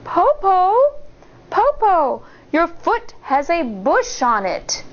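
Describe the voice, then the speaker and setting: A woman's voice: very high voice, sing-song, slow, highs cut off, a little hiss or hum, pitched above the performer's own voice, heavily acted. A woman playing a wary young eldest sister in a folktale, with a childlike, questioning manner. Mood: puzzled.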